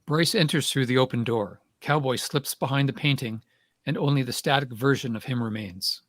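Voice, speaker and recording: A man's voice: medium pitch, moderate pace, fairly bright tone, dry recording. A man reading stage directions as scripted narration for a play.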